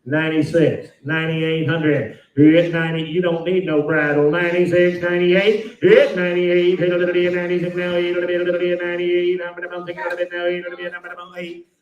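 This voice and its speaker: A man's voice: high-pitched, monotone, quick pace, dull audio. An American man working as a livestock auctioneer, calling bids in a repetitive, rolling rhythmic chant. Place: large indoor livestock sale barn. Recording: echoey sale barn PA, distant.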